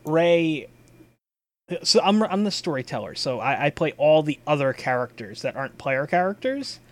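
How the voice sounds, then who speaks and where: A man hosting a casual chat about a tabletop game as its storyteller, speaking in a halting, informal way and swearing casually. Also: on the high side, very animated.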